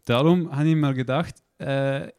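A man's voice: mid-range voice, a little slow, a little mellow, smooth, dry recording, studio quality. A man hosting a radio show in a chatty, playful way. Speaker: radio host. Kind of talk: casual radio chat.

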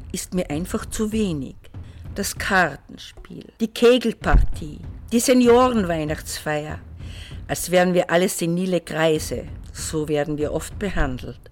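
Lower-voiced woman in her seventies. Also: a little mellow. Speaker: older community member. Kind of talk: prepared personal testimonial.

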